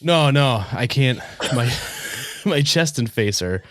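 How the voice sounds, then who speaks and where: A man's voice: mid-range voice, animated, slow, no room sound. A congested man hosting a casual podcast, talking informally and swearing freely. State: congested with a cold. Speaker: podcast host.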